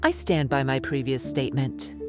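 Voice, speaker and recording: An actress playing a composed, self-assured middle-aged geriatrics doctor as a guest on a radio drama talk show. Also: female voice, rumbling low, normal speed, music in the background. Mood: composed, dry. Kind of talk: scripted radio drama interview.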